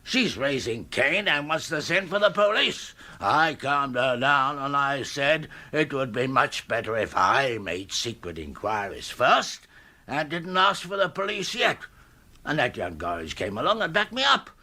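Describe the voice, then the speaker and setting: An older upper-class English uncle in a radio comedy, peevish and exasperated. His voice is raspy yet sharp and swings up and down as he grumbles with agitated emphasis. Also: mid-range voice, sing-song, measured pace, full character voice. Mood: grumbling, sarcastic. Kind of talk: radio comedy drama acting.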